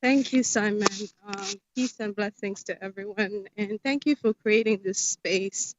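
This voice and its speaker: A woman from Somalia sharing her thoughts in a group discussion, soft-spoken and measured. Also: medium pitch, background noise. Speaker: panelist. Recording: muffled lo-fi audio.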